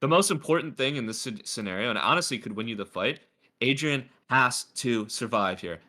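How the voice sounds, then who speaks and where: A man's voice: medium pitch, lively intonation, fairly quick, bright, forceful tone. A man coaching a player through a game replay in a casual, chatty way, with a slightly stuffy nose. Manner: chatty, lots of filler words. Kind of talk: casual gameplay review.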